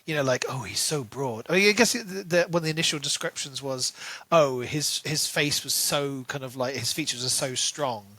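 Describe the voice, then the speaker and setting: A man's voice: mid-range voice, moderate pace, sharp tone, no room sound. A man co-hosting a podcast, chatting casually about books and repeating words for emphasis. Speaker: podcast co-host. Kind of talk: casual book discussion chat.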